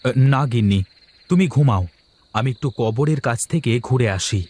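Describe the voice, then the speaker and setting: A man's voice: mid-range voice, expressive, normal speed, a little mellow, breathy edge. A man voicing a fretful, bewildered village man in a cartoon horror story, speaking urgently and full of questions. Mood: determined, worried. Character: Mahesh, agitated, bewildered village man grieving his mother.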